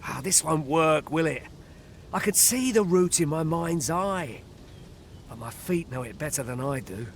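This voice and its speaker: Earnest village guide, lightly high voice. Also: male voice, animated, unhurried, gravelly. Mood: hesitant, instructive. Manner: earnest, plain-spoken, archaic rustic phrasing. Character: worried village man, protective older-seeming brother and forest guide. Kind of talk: scripted fantasy game dialogue in archaic English. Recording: game ambience noise behind voice.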